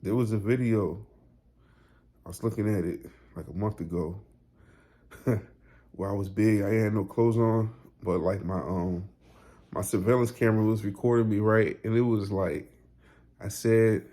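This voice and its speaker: Laid-back man with a low mellow voice. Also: steady tone, normal speed, pauses often. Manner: loose, repetitive, slangy talk with filler tags like "you know". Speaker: weight loss vlogger. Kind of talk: casual motivational vlog.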